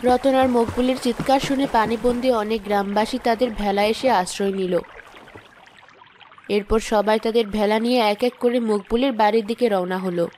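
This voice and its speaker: A woman giving scripted narration for an animated story, even and matter-of-fact. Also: fairly high pitch, a little fast, slight background noise.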